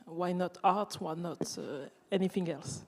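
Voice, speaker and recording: A woman's voice: lowish voice, unhurried. Woman interviewing in a hall. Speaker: interviewer, translator. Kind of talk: casual live interview. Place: indoor event hall before an audience. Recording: reverberant hall.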